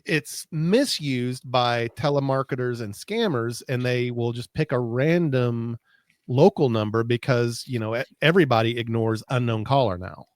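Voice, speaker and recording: A man's voice: medium pitch, normal speed, dry recording, studio quality. An American man in a casual tech chat as a co-host, informal and wryly grumbling, with folksy wording. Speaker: podcast co-host.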